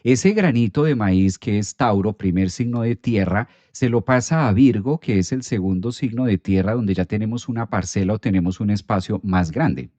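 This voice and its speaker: A man giving a calm, explanatory astrology talk during a live stream, with an occasional filler "eh". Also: fairly low pitch, moderate pace, hardly any pauses, no room sound.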